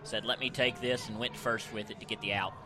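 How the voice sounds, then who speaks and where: A man's voice: fairly low pitch, fairly even intonation, brisk, music in the background. An American man giving informal, folksy color commentary on a live radio baseball broadcast. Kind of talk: conversational live sports commentary.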